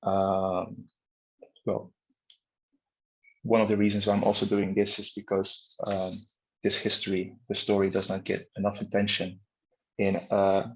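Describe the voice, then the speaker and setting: A man's voice: low voice, monotone, measured pace, long pauses. A young man from Bonaire, a student, giving an earnest talk as guest speaker over a video call. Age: young adult. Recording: dull lo-fi call audio.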